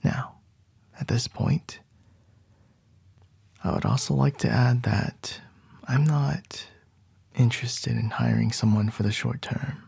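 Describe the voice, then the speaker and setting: A controlling young CEO boss, as a man puts him on, talking close to the mic in a husky, gravelly murmur that often falls to a whisper. He takes his time over every word, and the sound is dry and intimate, with no room behind it. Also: fairly even intonation, no echo, full character voice. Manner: soft low whispering, measured and domineering. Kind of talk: ASMR roleplay.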